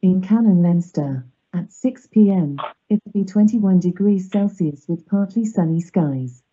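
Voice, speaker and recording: A synthetic woman's voice reading out as a smart speaker assistant, measured and impersonal. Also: on the low side, dark tone, husky. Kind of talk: synthetic forecast readout. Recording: dull smart speaker playback.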